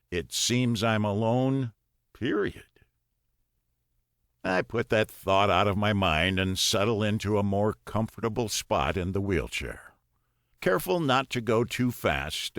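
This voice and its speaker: A man reading a short story in the first person as an audiobook narrator, in a measured, expressive storytelling delivery. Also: on the low side, no room sound, studio quality.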